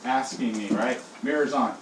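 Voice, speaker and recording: A male elementary school teacher leading his class in call-and-response, swinging his voice high and low as he drills them. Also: normal speed. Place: classroom, indoors. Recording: echoey classroom from far off, with noise.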